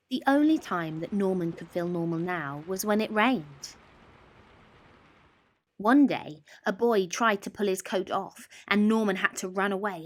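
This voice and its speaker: Animated, unhurried woman reading a bedtime story. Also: fairly low pitch, slight background noise. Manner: animated, deliberate, with drawn-out emphasis and dramatic pauses. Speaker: storyteller reading a children's picture book. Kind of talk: expressive bedtime story narration.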